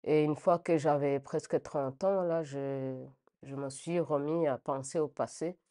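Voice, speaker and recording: A middle-aged woman from Rwanda giving a spontaneous personal testimony, reflective and halting, often repeating words as she searches for phrasing. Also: sits low, fairly even intonation, dark tone.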